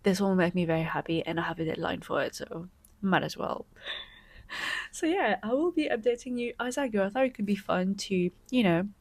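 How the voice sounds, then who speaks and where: A young woman knitting vlogger, congested from a cold, chatting excitedly to camera and sometimes slipping into a soft whisper. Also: medium pitch, a little fast. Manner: gushing and excitable, often dropping to a soft whisper. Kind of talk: casual vlog chat to camera.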